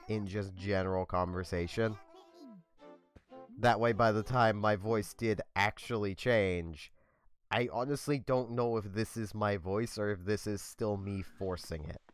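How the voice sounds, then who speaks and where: A young man hosts a gameplay video in a flat, monotone voice that sits on the low side and carries a slight scratch. He rambles easily and fills his speech with "like"s. Faint music plays underneath. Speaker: let's play host. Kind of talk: casual gameplay commentary.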